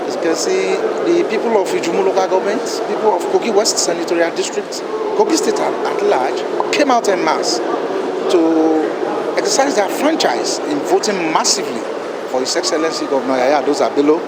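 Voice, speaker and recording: A man's voice: a little high, lively intonation, brisk. A man with a Nigerian accent giving a grandiloquent, celebratory impromptu statement to the press as a political supporter. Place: outdoors near traffic. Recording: very noisy outdoor street with traffic.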